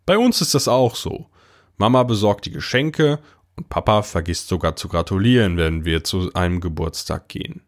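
A man playing a puzzled boy who narrates an audio drama in the first person, in a measured, understated way. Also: deep voice, expressive. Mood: bemused. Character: puzzled boy narrator recounting his talk with God.

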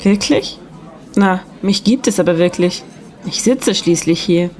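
A woman playing a friendly, gently teasing secretary in an audio drama. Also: lowish voice, measured pace, close to an everyday voice. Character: friendly, gently teasing young female secretary, slightly mysterious. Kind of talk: German audio drama dialogue.

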